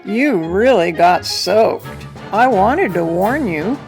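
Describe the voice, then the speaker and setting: A woman voicing an excitable young boy pelican in an expressive read-aloud of a children's picture book. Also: medium pitch, slow pace, rough recording. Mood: teasing. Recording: music behind the voice.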